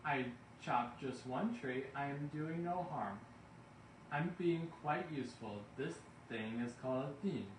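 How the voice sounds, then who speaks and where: A man's voice: medium pitch, unhurried, lightly acted. A man voicing a greedy, brash storybook entrepreneur who talks in a boastful, brusque, salesman-like way. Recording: roomy, distant mic, faint background noise.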